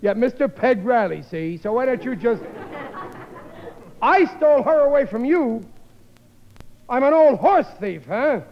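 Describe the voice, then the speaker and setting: Grumbly dad in old radio comedy, voice jumps all over, echoey hall, hissy. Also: male voice, very high-pitched, lively intonation, measured pace, long pauses, lo-fi sound, some chatter behind, exaggerated voice. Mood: blustering. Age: middle-aged. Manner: exasperated, ungrammatical, blustering. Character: blustering, jealous working-class husband and father, grumbling but jokey. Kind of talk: 1950s radio comedy acting.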